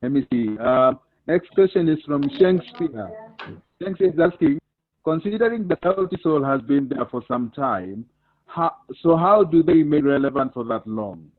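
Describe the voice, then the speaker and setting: A Kenyan man with a slightly raised pitch hosts a video call in a lively way, directing the participants and reading out questions. His voice comes through a tinny, phone-like line with a dry sound. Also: normal speed, no echo. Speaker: host. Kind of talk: hosting a video call interview.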